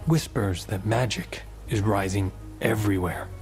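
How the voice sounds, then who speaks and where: A grave, brooding man in a game cinematic. He speaks slowly and solemnly in a lowish voice, with faint music underneath. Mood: solemn, ominous. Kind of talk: cinematic monologue.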